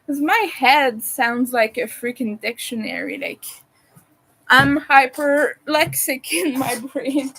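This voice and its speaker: A woman's voice: high voice, slow, creaky, a bit bright, slight background noise, poor audio. A woman who is not a native English speaker, chatting casually while she crochets, hesitating and restarting words. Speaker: crochet vlogger.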